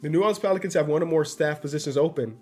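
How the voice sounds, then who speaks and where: A man's voice: on the high side, very fast, darkish tone, faint music behind. A congested man giving casual, slangy commentary while playing a basketball video game. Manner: loose, slangy and upbeat. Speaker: gaming YouTuber.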